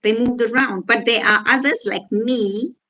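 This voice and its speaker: A woman's voice: fairly high pitch, a little slow, pushed and bright. A woman from Africa, casually reading out comments and answering them with sarcasm. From South Africa. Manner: sarcastic, reads out and rebuts comments. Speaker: vlogger reacting to comments.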